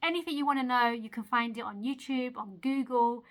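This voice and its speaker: A woman's voice: fairly high pitch, fairly quick, a bit bright. A young woman from Eritrea talking to camera in a casual, earnest vlog, chatty and self-laughing, asking the viewer questions. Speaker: video creator. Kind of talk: casual motivational talk to camera.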